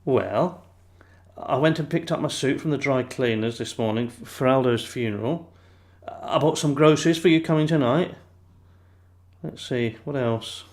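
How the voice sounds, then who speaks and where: An actor playing a gentle, chatty elderly grandfather in an audio play, fond, reflective and a little halting. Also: mid-range voice, close to an everyday voice. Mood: reminiscing. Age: older.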